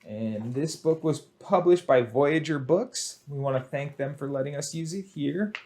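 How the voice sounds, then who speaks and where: A man's voice: a little high, unhurried, darkish tone. A man hosting a children's storytime, speaking in an exaggerated, sing-song way and encouraging young listeners along. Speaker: children's storytime librarian and host. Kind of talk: children's storytime with action songs and picture-book reading.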